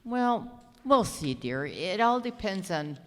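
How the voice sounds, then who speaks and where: An actress playing a fond middle-aged mother in a family radio drama, quick to worry. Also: mid-range voice, sing-song, a bit bright. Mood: doubtful. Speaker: community radio actor. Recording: reverberant live hall.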